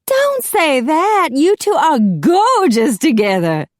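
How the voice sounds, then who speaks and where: A shrill, gushing, melodramatic young woman. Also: big pitch swings, normal speed, dry recording, studio quality. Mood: alarmed, dramatic. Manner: breathy, theatrical exclamations.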